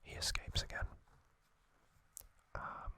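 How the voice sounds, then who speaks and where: Slow, whispering ASMR man. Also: bright, forceful tone, no room sound. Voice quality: breathy, unvoiced whisper. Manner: slow, halting, explanatory. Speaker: ASMR host. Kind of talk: whispered ASMR trivia recap, unscripted.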